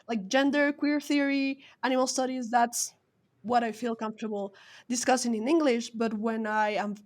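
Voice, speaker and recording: Higher-pitched woman. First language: Spanish. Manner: thoughtful, explanatory, academic. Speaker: podcast co-host. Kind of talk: casual podcast chat.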